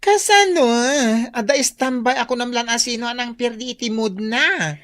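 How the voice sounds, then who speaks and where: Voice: medium pitch, moderate pace, fairly bright tone, dry recording, clean studio sound, lightly acted. A performer playing a brash, protective younger sibling in a comedy radio drama, snappy and exclamatory. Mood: grumbling.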